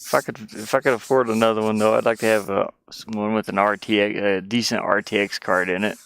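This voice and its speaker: A man chatting casually on a game livestream, rambling and thinking aloud in a halting way. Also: lowish voice, steady tone, gravelly, a bit bright, clean tone, no echo. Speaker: game streamer and indie game developer.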